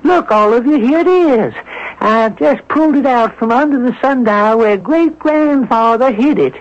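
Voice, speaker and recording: High-pitched, fussy old woman. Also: normal speed. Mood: excited, awed. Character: man posing as a fussy, excitable old woman. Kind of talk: radio drama acting. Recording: dull lo-fi radio broadcast.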